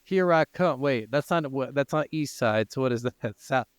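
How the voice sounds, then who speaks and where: A man's voice: mid-range voice, moderate pace, clear, resonant tone, dry recording, studio quality. A man co-hosting a podcast in casual banter, talking in a joking, sweary way. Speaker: podcast co-host. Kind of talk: casual banter between co-hosts.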